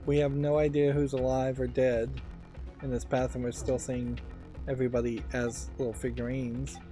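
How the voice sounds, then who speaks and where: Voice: medium pitch, level tone, normal speed. A let's play host giving casual game commentary, musing and thinking aloud while working through a puzzle game. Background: game music.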